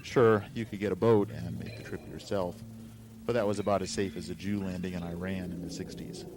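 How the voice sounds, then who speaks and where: A man's voice: sits low, fairly even intonation, fairly quick, a little mellow. A man reading a scripted first-person story with a wry delivery, on a noisy amateur recording.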